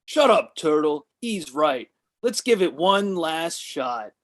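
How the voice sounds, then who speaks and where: A man's voice: on the high side, big pitch swings, slow. A man reading the part of a grumpy, skeptical older brother, contrarian and bossy, in a comedy table read. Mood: bossy, sulky.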